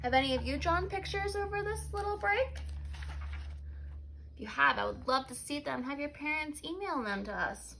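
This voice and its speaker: Casting: female teacher for picture-book narration, high pitch, very lively intonation, expressive and instructive. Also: normal speed, vocal fry, slight background noise, low-quality recording. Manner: expressive, instructive, asks and confirms answers. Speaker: teacher reading aloud. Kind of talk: animated storybook read-aloud with questions to pupils.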